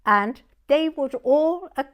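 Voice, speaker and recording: A woman in her nineties with a southern English accent, reminiscing conversationally in a halting way and often checking that she is understood. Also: high voice, lively intonation, muffled recording. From Dorset, England. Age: 90s. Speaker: oral history interviewee.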